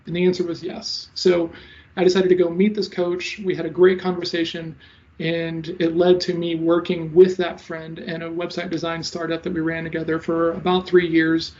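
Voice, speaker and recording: High, fast-talking man with a stutter. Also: completely flat delivery, darkish tone. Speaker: sales coach and guest. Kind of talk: casual interview answers. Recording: slightly dull lo-fi audio.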